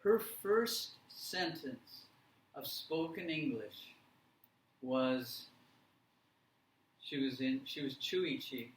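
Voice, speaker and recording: A man presenting an interactive workshop for teachers, speaking haltingly and emphatically with frequent pauses and check questions. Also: medium pitch. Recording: roomy, distant mic, faint noise.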